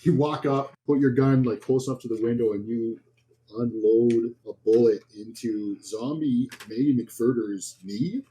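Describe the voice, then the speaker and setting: A man running a horror tabletop game, describing scenes informally and haltingly as game master. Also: mid-range voice, mellow, slight background noise, rough recording.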